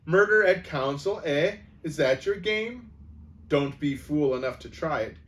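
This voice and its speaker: A man's voice: medium pitch, big pitch swings, measured pace. A man voicing a bitter, hostile dwarf who speaks coldly and snaps at others in a storybook reading. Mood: angry. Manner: cold, snapping, rising to a scream. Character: bitter, hostile male dwarf, cold and resentful.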